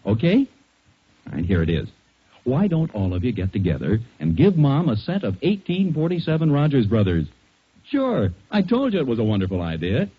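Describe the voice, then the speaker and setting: An American male radio announcer of the 1940s with a husky voice of medium pitch. He reads scripted copy with animated, persuasive polish. The old recording sounds muffled. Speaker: announcer and narrator.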